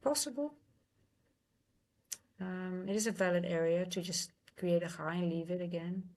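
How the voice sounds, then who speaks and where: A woman with a Dutch accent, a native Dutch speaker, hosting a live trading stream, thinking aloud in halting, casual commentary. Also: fairly low pitch, a little mellow. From Netherlands.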